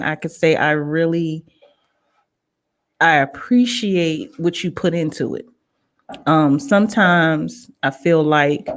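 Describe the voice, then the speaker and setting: A woman with an American accent, hosting a radio interview in a casual, conversational style. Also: low voice. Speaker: radio show host.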